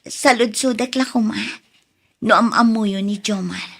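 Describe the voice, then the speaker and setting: A woman's voice: fairly high pitch, measured pace, vocal fry, a bit bright, heavily acted. A woman playing a devoted, heartbroken young woman with an Ilocano accent in an audio drama. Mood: earnest, subdued.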